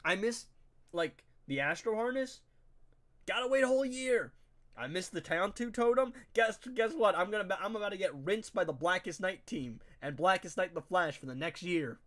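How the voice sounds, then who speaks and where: A man's voice: high voice, expressive, normal speed, fairly bright tone. A young man with a nasal, congested voice, chatting casually to viewers while he streams a game and talking straight to his chat in slang. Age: young adult. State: stuffy, congested nose. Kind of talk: casual live-stream gameplay commentary.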